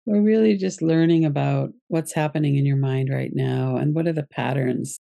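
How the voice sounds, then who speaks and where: A woman guiding a yoga nidra meditation as its teacher, giving gentle, unhurried instructions with pauses. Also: rumbling low, creaky, dry recording.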